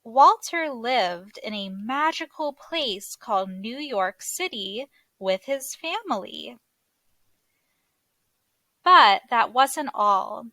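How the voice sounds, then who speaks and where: Female storybook narrator for kids, fairly high bright voice, unhurried and expressive, close dry sound. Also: no echo. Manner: expressive, deliberate read-aloud delivery. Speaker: teacher reading a children's story aloud. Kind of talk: scripted storybook narration for children.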